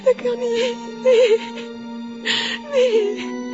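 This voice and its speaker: High-voiced, grief-stricken crying woman. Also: flat delivery, extremely slow, exaggerated voice. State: crying, distressed. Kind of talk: television crime drama acting. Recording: muffled lo-fi sound, music behind.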